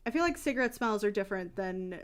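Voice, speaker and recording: A woman's voice: mid-range voice, lively intonation, fast, clear, resonant tone. A young adult woman streamer chatting casually, rambling and candid with frequent restarts. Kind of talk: casual chat.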